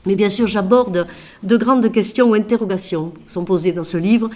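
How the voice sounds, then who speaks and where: A woman, a Franciscan sister and author, talks with feeling about her book, swinging from emphatic questions to quiet asides while she has a cold. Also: medium pitch, normal speed. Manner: expressive, shifting from loud emphasis to quiet asides; asks rhetorical questions.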